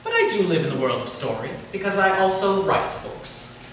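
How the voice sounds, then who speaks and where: An actor playing a genial, courtly Victorian gentleman writer with a formal, eloquent, theatrical way of talking, in a staged reading. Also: high voice, expressive, normal speed. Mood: genial, proud. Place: indoor staged reading, distant microphone. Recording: very echoey room from far off, faint noise.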